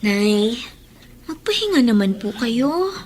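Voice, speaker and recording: Caring young daughter in filipino radio drama, high girlish pitch, super lively swings, earnest. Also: takes it slowly, slight background noise. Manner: respectful, earnest. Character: caring, protective, outspoken schoolgirl daughter. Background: music under the voice.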